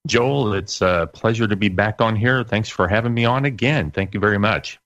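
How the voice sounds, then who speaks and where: A middle-aged man chatting as an interview guest in a halting, conversational way, on a slightly muffled recording. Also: lowish voice, a bit bright, no echo. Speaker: interview guest, internet radio station operator. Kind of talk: casual interview chat.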